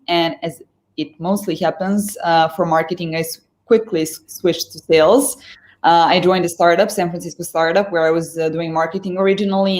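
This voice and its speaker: A young woman from Serbia talks with a clear Serbian accent in a slightly low voice. She is a guest on a live stream over a video call, speaking fluently but filling pauses and restarting her phrases. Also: a little mellow. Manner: fluent, many fillers and restarts.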